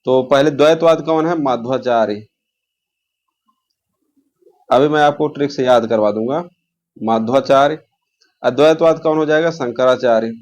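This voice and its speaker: A man's voice: mid-range voice, moderate pace, pauses often, a little mellow. A man teaching an informal exam lesson, repeating key terms and posing questions as he explains.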